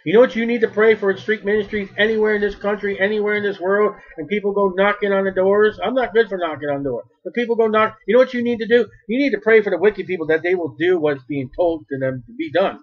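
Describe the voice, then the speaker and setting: Loud high-voiced man preaching. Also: quick pace, few pauses, highs cut off. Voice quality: big loud voice. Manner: loud, emphatic preaching that swells in volume. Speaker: street preacher. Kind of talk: sermon.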